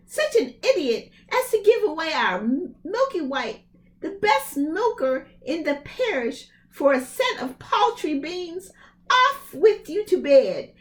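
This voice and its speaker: A woman reading a storybook, voicing an excitable, exasperated mother who exclaims and scolds indignantly. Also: very high voice, pitched above the performer's own voice, heavily acted.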